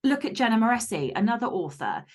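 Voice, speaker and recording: A woman's voice: on the high side, big pitch swings, quick pace, darkish tone. A woman podcast guest giving conversational advice in a blunt, emphatic, sweary way.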